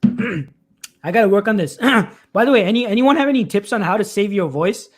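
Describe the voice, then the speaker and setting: A man hosting a live career stream, answering questions in a loose, repetitive way, clearing his throat often as his voice gives out. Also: sits high, animated, normal speed. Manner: loose, repeats words and restarts often.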